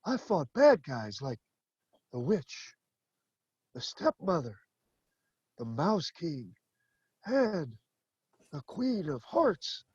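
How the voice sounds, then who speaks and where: A man playing a slow, husky old grandpa. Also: fairly high pitch, sing-song, a little mellow, no echo, muffled recording, heavily acted. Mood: gentle. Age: older. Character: old grandfather shoemaker, forgetful, half deaf. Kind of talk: stage play acting.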